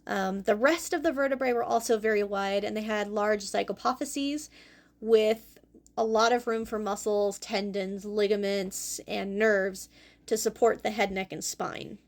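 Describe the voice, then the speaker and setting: A young adult woman hosting a fossil lesson as a paleontology teacher, explaining clearly and going carefully over hard names. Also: medium pitch, steady tone, measured pace. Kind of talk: educational fossil presentation.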